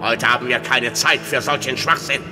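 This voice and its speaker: An actor playing the menacing grandmaster of a secret lodge in a German audio drama, commanding and scornful. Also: male voice, mid-range voice, steady tone, normal speed, natural voice. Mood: scornful, urgent. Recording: reverberant hall, music behind.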